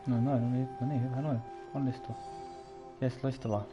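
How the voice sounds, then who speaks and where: A man's voice: mid-range voice, flat delivery, a little fast, muffled recording, over music. A Finnish man with a Finnish accent, chatting casually to himself as he plays a game on a stream. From Finland. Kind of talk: casual muttering while playing.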